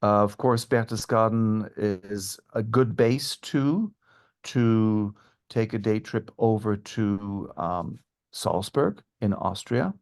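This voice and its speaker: A man speaking as a tour guide and historian, giving informative, measured answers in an interview. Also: lowish voice, darkish tone.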